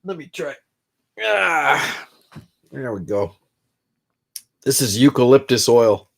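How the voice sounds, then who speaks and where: A man's voice: medium pitch, sing-song, slow, gravelly. A middle-aged man who is congested, rambling casually on a live stream from his own room and greeting viewers by name. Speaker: livestream host.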